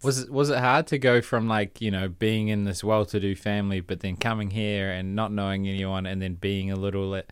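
A man's voice: on the low side, level tone, brisk, few pauses, clear, resonant tone, no echo, studio quality. A man hosting a casual podcast, asking questions in an upbeat, chatty way. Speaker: podcast host.

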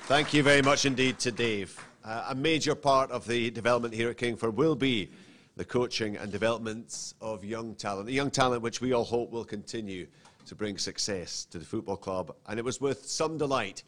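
A man's voice: medium pitch, moderate pace, a bit bright. A man acting as master of ceremonies, formally introducing the next speaker at an event.

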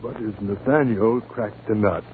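A man's voice: fairly low pitch, a little slow, close to an everyday voice. A man narrating a scripted story in 1950 radio style, with a storyteller's dramatic delivery. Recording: tinny, noisy 1950 radio broadcast.

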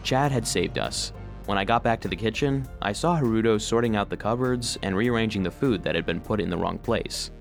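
An actor playing an earnest, sensitive household robot who narrates his own story for children in an expressive, heartfelt way. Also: on the low side, close to an everyday voice. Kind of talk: scripted first-person storybook narration for children.

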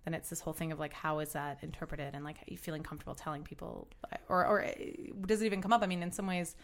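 Woman co-host, lowish voice, loose and rambling delivery. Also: steady tone, quick pace. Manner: rambling, filler-heavy. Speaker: podcast co-host. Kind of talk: casual interview chat.

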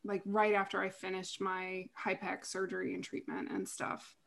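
A woman's voice: medium pitch, completely flat delivery, normal speed, creaky, a crowd in the background. A woman from Virginia, a podcast guest telling her story openly in easy conversation. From Virginia, USA. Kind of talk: casual conversation.

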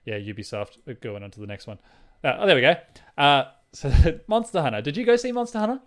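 A man's voice: on the high side, expressive, fast talker. A man co-hosting a casual film discussion podcast, rambling and thinking aloud as he talks. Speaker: podcast co-host.

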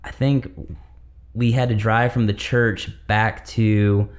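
Male, 30s, lower register, lively podcast storyteller. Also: takes it slowly. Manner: animated, laughing, acts out quoted dialogue. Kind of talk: casual storytelling chat.

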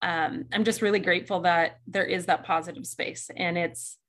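A woman's voice: on the low side, fairly even intonation, moderate pace, a bit bright. A woman on a video call, speaking earnestly and gratefully in long run-on sentences. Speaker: community member. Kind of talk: casual chat.

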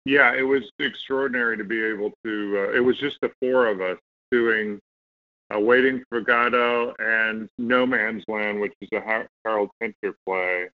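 A man's voice: lowish voice, fairly even intonation, normal speed, phone-quality sound. Middle-aged man, easygoing guest storyteller. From United States. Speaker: guest actor. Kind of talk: casual interview chat.

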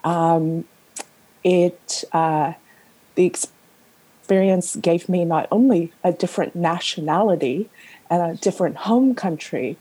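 A woman in her fifties from Vietnam, Vietnamese her first language, speaks slowly and deliberately in a remote interview. Her voice is husky and mellow, with frequent fillers and pauses. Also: on the low side, rough recording. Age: 50s. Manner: slow, thoughtful, many fillers. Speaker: guest, novelist.

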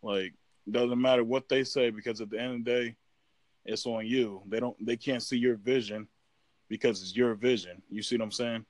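A man's voice: mid-range voice, fairly even intonation, moderate pace, dry recording. A man in casual chat as a podcast guest, rambling with many fillers and restarts. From United States.

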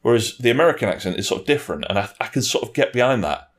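A man's voice: mid-range voice, animated, rapid-fire. A man chatting casually and giving wry, opinionated reviews, with some swearing and mimicry. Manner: wry, sweary, puts on mock voices while ranting. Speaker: film and game reviewer in a two-person discussion.

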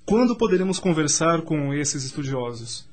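A man acting as an earnest young suitor in a radio drama. His voice is fairly high, and he speaks with courteous, bashful formality. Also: sing-song, normal speed. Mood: curious. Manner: formal, hesitant. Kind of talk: radio soap opera acting.

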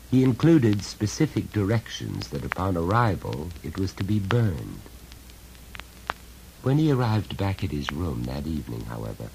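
Deep voiced guy reading a creepy story, slow deliberate dramatic delivery, voice rises and dips a lot, bit of noise. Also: a little mellow, rough recording. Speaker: story reader. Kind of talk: scripted narration of a horror short story.

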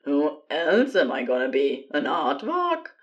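An agitated, evasive man phoning for help in an audio story, played by a woman. He is embarrassed and strained, speaking in curt, hesitant bursts at a lowish pitch for the performer. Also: huge pitch swings, measured pace, lo-fi sound, full character voice.

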